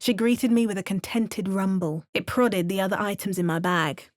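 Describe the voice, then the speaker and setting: A woman's voice: medium pitch, normal speed, no echo, close to an everyday voice. An actress voicing a wary, determined female adventurer hero with a British accent, trading dry asides with storybook narration. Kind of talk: video game character lines.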